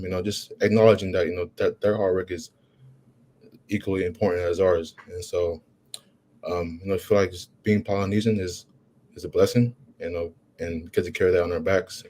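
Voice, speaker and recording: A young man with a deep, low voice talks in a halting, casual way, leaning on "you know" at nearly every turn. Faint ambient noise hums behind him. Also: a little mellow. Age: young adult. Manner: halting, heavy use of "you know" fillers. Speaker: college football player. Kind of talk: casual interview answers.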